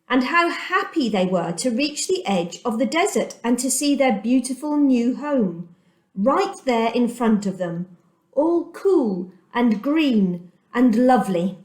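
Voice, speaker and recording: A woman reading aloud to children as a storyteller, with deliberate, dramatic emphasis and pauses. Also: on the high side. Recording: echoey room.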